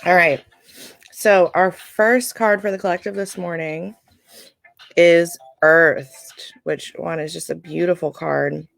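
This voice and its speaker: A woman with a low, gravelly voice talks casually to her live viewers as she reads oracle cards. Her delivery is informal and explanatory, with halting stretches. The sound is close and dry. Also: no room sound. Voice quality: scratchy voice. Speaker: live-stream oracle card reader and host. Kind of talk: casual spiritual card reading to a live audience. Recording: music playing during a live stream.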